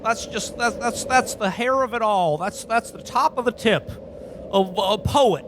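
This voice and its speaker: A man's voice: high voice, measured pace, a bit bright, slight background noise. A man playing a frantic, pleading character who stammers and repeats himself in a radio play parody. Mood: distraught. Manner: stammering, breathless repetition, pleading. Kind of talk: old-time radio play melodrama parody.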